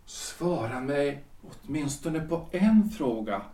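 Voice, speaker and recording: An actor playing a jealous, pleading husband in his forties in a period audio drama, halting and repeating himself. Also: a little high, animated, dark tone. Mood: pleading, agitated. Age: 40s. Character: anguished jealous husband, 43-year-old lawyer and politician, pleading. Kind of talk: period stage-play audio drama acting. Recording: roomy, echoing, distant from microphone.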